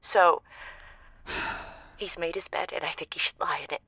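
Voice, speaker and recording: A betrayed caller speaks in a bright, forceful voice with a close, dry sound. She is halting and emotional, a fed-up woman in a radio drama. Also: fairly low pitch, steady tone, husky, no room sound. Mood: resigned, resolved. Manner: halting, emotional, repeats words.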